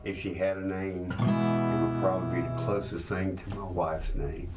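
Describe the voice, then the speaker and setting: A man, talking reflectively and haltingly in an interview. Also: low-pitched, level tone, over loud music. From South Carolina, United States. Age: late 60s. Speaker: musician being interviewed.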